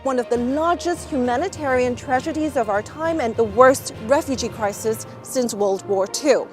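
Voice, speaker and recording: A woman news correspondent delivering formal, measured broadcast reports. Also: fairly high pitch, darkish tone.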